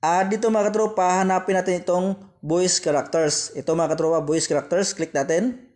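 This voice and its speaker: High-voiced Filipino man giving app tutorial. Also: fairly even intonation, brisk, a little mellow. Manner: repetitive, addressing viewers directly as friends. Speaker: tutorial host. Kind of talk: step-by-step app tutorial voice-over.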